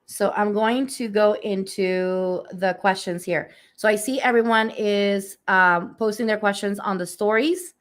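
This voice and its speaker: A woman with a slightly hoarse voice giving a live spiritual reading, speaking softly and unhurriedly with many fillers. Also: medium pitch, unhurried, hardly any pauses. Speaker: energy healer giving readings. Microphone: phone or webcam on a livestream.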